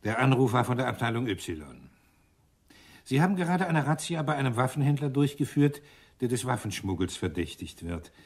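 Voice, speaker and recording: A man's voice: mid-range voice, big pitch swings, moderate pace, a little mellow, slightly rough. A man playing an irritable British police inspector in a German radio crime drama, dry and commanding. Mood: sardonic, commanding.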